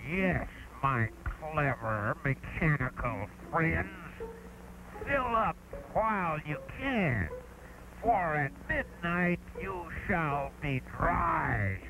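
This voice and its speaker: Man playing a slow, gravelly gloating villain. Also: on the high side, expressive, noisy background, voice pushed down, full character voice. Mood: gloating menace. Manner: menacing, deliberate, theatrical delivery. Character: gloating male mad-scientist villain, scheming and theatrical. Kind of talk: dramatic reading of a children's space adventure. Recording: loud music under the voice.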